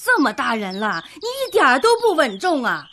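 A woman acting a scolding, nosy, excitable middle-aged mother in a radio drama, nagging and exclamatory. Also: high voice, brisk, slight background noise, full character voice.

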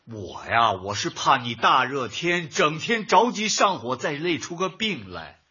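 A man's voice: on the high side, very animated, a little slow, sharp tone, husky, heavily acted. A male actor playing a fussy, pedantic, nagging husband in a comic radio drama, scolding in a bookish way. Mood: exasperated concern. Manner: scolding, bookish, uses proverbs. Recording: dull lo-fi audio.

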